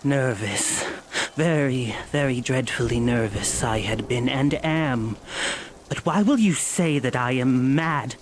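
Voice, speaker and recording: A man playing a nervous, mad murderer who insists he is sane, narrating a gothic horror audio drama with intense, halting theatrical delivery. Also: mid-range voice, slight background noise, natural voice.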